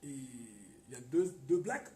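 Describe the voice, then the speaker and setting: Ivorian guy chatting, stumbles n repeats himself, mellow voice, sounds far off in an echoey room. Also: mid-range voice, big pitch swings, slow, a little hiss or hum. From Ivory Coast. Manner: hesitant, repeats words, emphatic at times. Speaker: radio guest. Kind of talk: casual chat.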